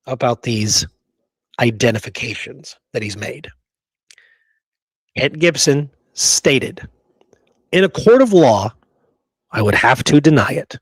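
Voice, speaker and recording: A man co-hosting a history show in casual discussion, talking informally and haltingly with frequent asides. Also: mid-range voice, slightly rough, no room sound, low-quality recording. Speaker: co-host of a history talk show.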